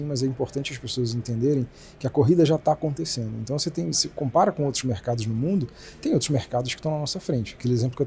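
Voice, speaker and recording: A Brazilian man, a company president, explaining fast and animatedly with many restarts in a casual business interview. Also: mid-range voice, a little mellow, slight background noise. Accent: Brazilian Portuguese.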